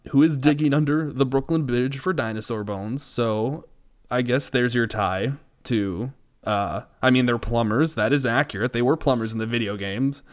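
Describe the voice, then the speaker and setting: A man's voice: medium pitch, normal speed. A man co-hosting a podcast in casual comedic banter, with a sarcastic and amused manner. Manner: sarcastic, amused, chuckling through sentences. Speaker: podcast co-host. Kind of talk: casual comedic banter, reading out a plot synopsis with asides.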